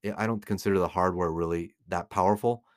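A man's voice: low voice, steady tone, quick pace, no room sound, studio quality. A middle-aged man with an American accent giving informal, opinionated commentary as a host, emphatically repeating phrases for stress. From United States. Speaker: podcast host, collectibles dealer.

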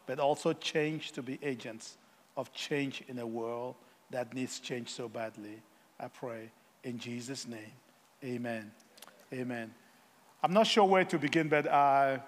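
A man, a guest missionary speaker giving a sermon, pausing often with fillers and self-deprecating humor. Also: mid-range voice, big-room sound. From Africa. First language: Yoruba.

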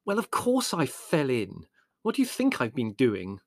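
Man performing pompous young man, sarcastic, indignant, audiobook character, highly animated delivery, dry close sound. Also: fairly quick, no echo. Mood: bitingly sarcastic. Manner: bitter, sarcastic, elaborate indignant phrasing.

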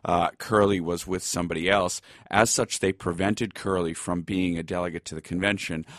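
A middle-aged American man from Boston, telling stories as an interview guest in a halting, measured, conversational way. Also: low-pitched, fairly even intonation, fairly bright tone, dry recording, clean studio sound. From Boston, United States. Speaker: interview guest, law lecturer and writer.

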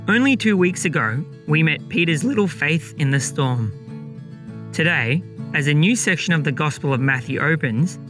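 A man's voice: on the high side, lively intonation, moderate pace. A man hosting a gospel reflection program, reading a scripted introduction. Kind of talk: scripted narration. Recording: music behind the voice. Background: plucked guitar music.

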